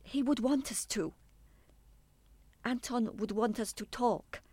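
A woman acting in a radio play as a bereaved wife, measured and insistent, controlled but obsessive about claiming a son. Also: fairly high pitch, dark tone, dry recording. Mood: pained, bitter.

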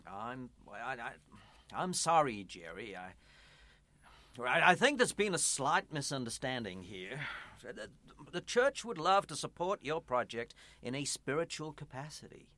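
A man's voice: medium pitch, sing-song, unhurried, bright, forceful tone, no room sound. A man acting in a radio play as a kindly but evasive church pastor, stammering and placating in naturalistic dialogue. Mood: hesitant, apologetic.

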